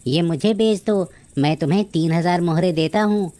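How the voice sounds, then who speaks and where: A man's voice: sits high, moderate pace, warm tone, no echo, natural voice. A man voicing an eager sweet-seller, bargaining plainly in a cartoon fairy tale.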